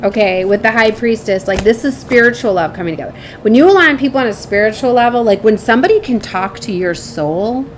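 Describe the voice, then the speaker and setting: A woman's voice: on the high side, animated, a little fast, few pauses, slight background noise. A woman giving a casual, rambling spiritual tarot reading, informal and chatty, often trailing off and checking in with the listener. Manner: frequently seeks agreement with tag questions. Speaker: tarot reader and spiritual channel host.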